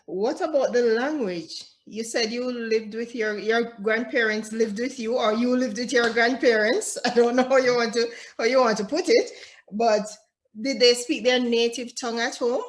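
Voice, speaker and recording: Higher-pitched woman interviewer. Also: moderate pace. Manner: brief affirmations and prompting questions. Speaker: interview host. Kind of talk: video interview questions. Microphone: video call.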